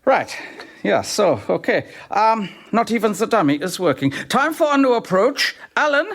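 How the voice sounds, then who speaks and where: Smug German-accented shrink for radio comedy, high voice, sing-song delivery. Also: male voice, very animated, normal speed, a bit bright, no echo, clean studio sound, full character voice. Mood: self-important. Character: pompous male psychiatrist, self-important. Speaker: psychiatrist. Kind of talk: radio sitcom acting.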